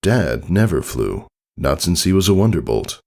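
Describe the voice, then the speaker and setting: A man with a low voice narrates a story from a script. There is fry in his tone, and his delivery moves expressively up and down. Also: sing-song, normal speed, a little mellow. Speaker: fan fiction reader, narrator.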